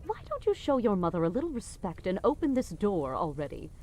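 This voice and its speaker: A woman's voice: mid-range voice, sing-song, a little fast, dark tone. A woman playing a haughty middle-aged queen and guilt-tripping mother in an audio drama, dismissive and cajoling by turns. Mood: exasperated, cajoling. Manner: dismissive, cajoling, imperious, with exasperated sighs. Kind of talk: audio drama podcast acting.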